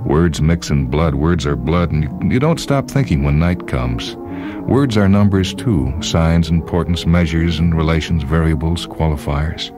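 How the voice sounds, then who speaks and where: A man's voice: very deep voice, expressive, unhurried, voice pushed up. A man voicing an erudite, coolly blunt man made of words, speaking in a clipped, pedantic, insistent way over music. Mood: pedantic, intense.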